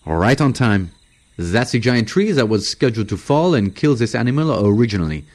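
A man's voice: mid-range voice, animated, moderate pace, a little mellow, voice pushed up, natural voice. A man voicing a level-headed safari assistant in an audiobook story, explaining things in a matter-of-fact, reasoning way. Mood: businesslike.

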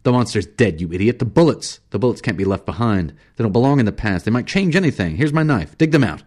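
A man's voice: fairly low pitch, lively intonation, fast talker, a little mellow, husky, pitched above the performer's own voice. A man voicing a furious, menacing time-safari guide in an audiobook story, barking his words with heavy emphasis. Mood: harsh, commanding.

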